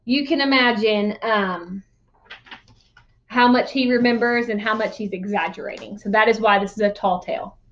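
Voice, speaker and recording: A woman's voice: mid-range voice, normal speed, fairly bright tone, lo-fi sound. A woman reading a storybook as a teacher would, explaining things to young listeners. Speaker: read-aloud teacher.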